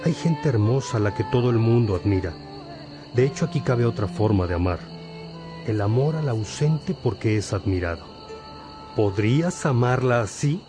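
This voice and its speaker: An actor playing a wise, patient priest and teacher in a religious radio drama, gently questioning and instructing. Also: male voice, mid-range voice, expressive, normal speed, lightly acted. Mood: probing, earnest. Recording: music behind the voice.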